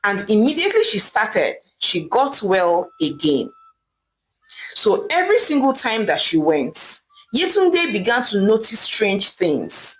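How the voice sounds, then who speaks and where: A woman's voice: mid-range voice, wildly swooping pitch, a little slow, soft background music, low-quality recording. A woman narrating a folktale in a dramatic, scripted storytelling style.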